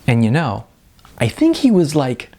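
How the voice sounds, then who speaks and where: A man's voice: medium pitch, wildly swooping pitch, a little slow, a little mellow, pitched above the performer's own voice. A man voicing a bubbly, starstruck young female model in an audiobook story, gushing in breezy chatter. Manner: gushing, breezy chatter peppered with filler words.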